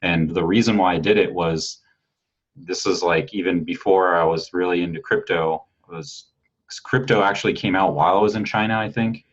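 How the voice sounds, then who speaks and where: A man with a very low, husky voice talks in a loose, rambling way, full of "like" and the occasional curse. The recording sounds dull and lo-fi. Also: fairly even intonation, moderate pace. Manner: rambling, filler-heavy, frank with casual swearing. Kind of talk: casual podcast conversation.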